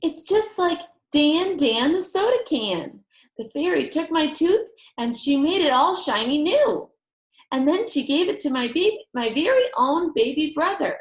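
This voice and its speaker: A woman voicing an excitable, talkative little girl from a storybook, bubbly and wide-eyed, with emphatic, exclamatory childlike delivery. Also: high-pitched, unhurried, reverberant, voice pushed up. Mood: delighted, proud.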